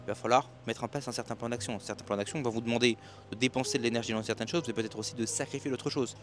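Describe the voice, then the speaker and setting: Fast-talking man musing with soft music behind. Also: medium pitch, steady tone. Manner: rambling with many restarts and fillers. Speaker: self-help and expat business YouTuber. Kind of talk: casual motivational monologue to viewers. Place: outdoors at night by the sea.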